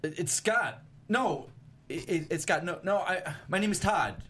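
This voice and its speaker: A man's voice: sits high, animated, unhurried, fairly bright tone, breathy edge, heavily acted. A man playing an awkward, exasperated young vampire who stammers and sulks through a comedy script read. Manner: stammering, self-correcting, sullen. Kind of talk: comedy pilot table read. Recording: faint background room noise.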